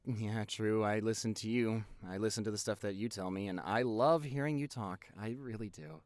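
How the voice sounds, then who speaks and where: A man's voice: fairly low pitch, moderate pace, no echo, lightly acted. A young man playing a playful, affectionate boyfriend who rambles chattily and teasingly in an intimate audio roleplay. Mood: tender, apologetic. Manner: teasing, animated, chatty, expressive.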